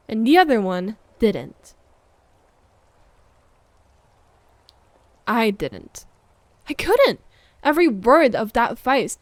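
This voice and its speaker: A fairly high voice in a very dry recording, a woman acting out a needy, wounded girlfriend. She is accusing and emotional, shifting from pointed reproach to breathy, hushed murmurs in an intimate roleplay monologue. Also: no room sound. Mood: hurt, accusing. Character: hurt, needy, accusing live-in girlfriend waiting up late.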